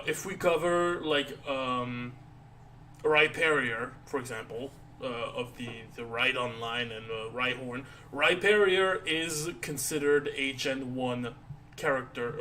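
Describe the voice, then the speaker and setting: A tired man chatting casually on a live stream, rambling and halting with many restarts and fillers. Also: mid-range voice, slight background noise. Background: soft background music.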